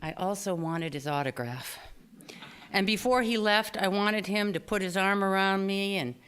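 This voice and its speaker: A woman reading her own personal essay aloud at a live storytelling event, with expressive, deliberate phrasing. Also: medium pitch, clear, resonant tone. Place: small theater stage, live reading before an audience.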